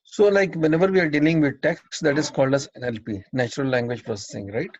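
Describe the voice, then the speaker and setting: A man's voice: fairly high pitch, fast talker, dry recording. A man chatting casually as an interviewer, prompting with short agreements. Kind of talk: casual technical conversation. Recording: dry, dull lo-fi recording.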